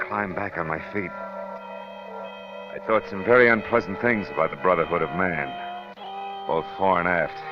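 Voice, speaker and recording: An actor playing a weary, wry, tough private detective in 1940s radio noir style, with dry, clipped delivery. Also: male voice, a bass voice. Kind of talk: 1940s radio noir acting and first-person narration. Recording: dull old radio recording.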